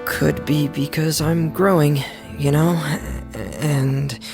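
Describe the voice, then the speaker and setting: A man playing a shy teenage boy in a video game, hesitant, faltering and soft-spoken. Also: on the high side, fairly even intonation, slow, creaky. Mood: nervous. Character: shy, timid teenage boy, awkwardly evasive. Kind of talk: video game character acting. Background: game music.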